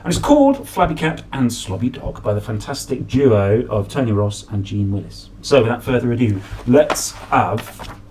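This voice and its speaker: A man in his thirties reading a children's picture book aloud, playful and dramatic with drawn-out emphasis. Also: mid-range voice, sing-song, a little mellow, a little hiss or hum. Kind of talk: animated children's story reading. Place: small room at home, at a desk.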